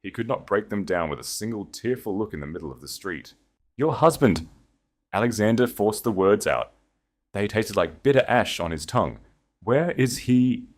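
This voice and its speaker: Male audiobook narrator, very low voice, dramatic, wide-ranging delivery. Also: lively intonation, fairly quick. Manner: intense, dramatic storytelling.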